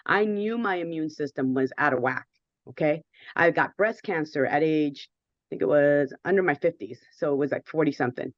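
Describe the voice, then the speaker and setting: A woman in her fifties with a hoarse voice, a guest telling her story earnestly and with emphasis. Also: low voice, moderate pace, no echo, lo-fi sound. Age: 50s. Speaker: guest sharing her experience.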